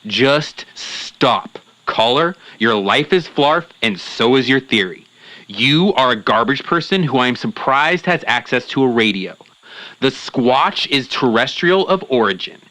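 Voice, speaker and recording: An actor playing a cranky, self-important Sasquatch hunter as a blustery, indignant radio show guest. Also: male voice, medium pitch, expressive, measured pace, bright, forceful tone, no room sound, poor audio. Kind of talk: acted radio interview.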